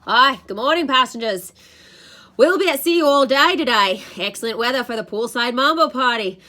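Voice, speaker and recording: A woman's voice: on the high side, expressive, normal speed, pushed and bright, pitched above the performer's own voice. A woman voicing an overly cheerful male ship's announcer, chirpy, with an attempted Australian accent. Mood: relentlessly cheerful. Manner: chirpy, sing-song announcing.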